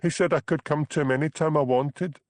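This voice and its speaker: A man's voice: steady tone, brisk, darkish tone, dry recording, natural voice. A man reading an audiobook, voicing an anxious young woman trapped in an unhappy marriage, with a plaintive, pleading manner. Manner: pleading, plaintive, high-pitched. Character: anxious young crofter's wife, trapped and tearful. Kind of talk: audiobook character voice.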